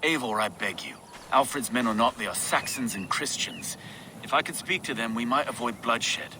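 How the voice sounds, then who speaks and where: An actor playing an earnest Saxon town official with an oratorical manner in a video game. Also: male voice, medium pitch, moderate pace, background noise, natural voice. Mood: pleading. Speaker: town reeve. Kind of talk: dramatic video game acting.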